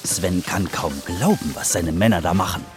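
A man's voice: low voice, normal speed, lightly acted. A man narrating a scripted children's adventure story over music. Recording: music under the voice.

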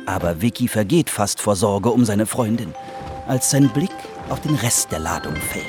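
A man's voice: fairly low pitch, lively intonation, normal speed, close to an everyday voice. A man narrating a scripted children's adventure story over music. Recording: music under the voice.

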